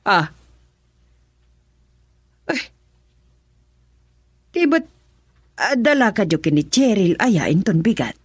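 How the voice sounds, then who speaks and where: A middle-aged Filipino mother in a radio drama, coaxing and a bit bossy. Her husky voice swings widely in pitch and is recorded dry. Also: sing-song, takes it slowly, pauses often, no room sound, full character voice. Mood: coaxing, insistent.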